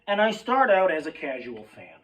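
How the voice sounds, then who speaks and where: Hoarse rambling man, a bit off-mic. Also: a little high, sing-song, moderate pace, a bit bright, recorded from a distance, poor audio. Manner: rambling, sidetracked, swears casually, addresses folks. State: hoarse, voice cracking. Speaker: sports fan vlogger. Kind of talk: rambling casual monologue.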